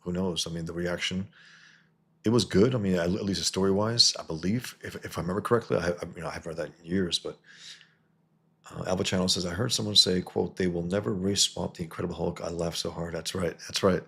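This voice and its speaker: A man from New York hosting a casual livestream, reading viewer comments and answering in a halting way that restarts and repeats words. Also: deep voice, fairly even intonation, creaky, pushed and bright, husky. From New York, USA. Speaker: livestream host and comic artist.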